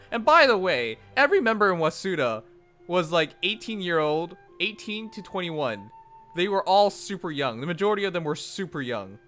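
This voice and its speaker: A young man chatting casually on a livestream, animated and rambling, often breaking into laughs. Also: high voice. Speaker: streamer. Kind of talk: casual stream chat. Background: faint music.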